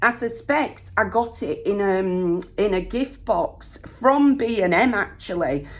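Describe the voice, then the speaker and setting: A middle-aged woman with a Northern English accent, slightly croaky, chatting homely and rambling while doing her makeup. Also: medium pitch, expressive, slow pace. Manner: rambling, homely, lots of ums and rights. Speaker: vlogger. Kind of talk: chatty get-ready-with-me storytime.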